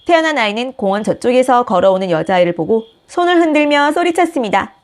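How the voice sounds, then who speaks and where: A woman reads a children's picture book aloud with gentle, expressive storytelling. Her voice sits somewhat high and moves in a lively way through each sentence. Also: fast. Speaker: storybook reader, channel host.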